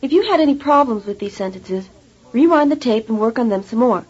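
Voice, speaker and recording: A woman's voice: a little high, expressive, a little mellow. Woman narrating a language tape, lo-fi. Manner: measured, clear teacherly delivery. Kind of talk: scripted instructional narration. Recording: dull lo-fi tape recording.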